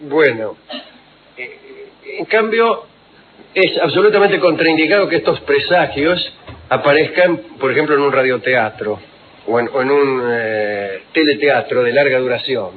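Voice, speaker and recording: A man, an ironic radio host who spins digressive stories and drops quick asides. His mid-pitched voice comes through a thin, phone-like band. Clear hiss runs under an old archive recording. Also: expressive. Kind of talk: humorous radio talk.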